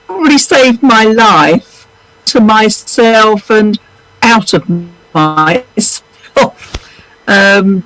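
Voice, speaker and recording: A woman with a deep voice comes through a video call, earnest and halting as she feels her way through each thought. Her delivery is slow, with a dry, close sound and no room around it. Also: fairly bright tone, no echo, low-quality recording. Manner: earnest, halting, with frequent pauses. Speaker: viewer calling in.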